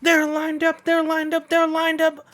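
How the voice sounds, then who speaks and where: A man voicing an excited horse race announcer from a manga, with emphatic, repetitive commentary calls. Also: very high voice, a little slow, pitched above the performer's own voice. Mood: frantic excitement.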